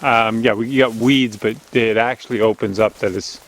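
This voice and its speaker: Loud-voiced man chatting on a woodland walk. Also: lowish voice, fairly even intonation, moderate pace. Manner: strong, loud voice, chatty and rambling. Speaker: channel host. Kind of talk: casual walking vlog narration. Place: outdoors on an overgrown woodland logging road. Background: faint outdoor nature ambience.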